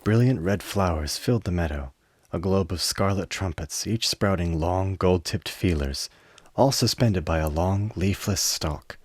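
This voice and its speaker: A man narrating a scripted radio play in a measured, descriptive storytelling manner. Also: sits low.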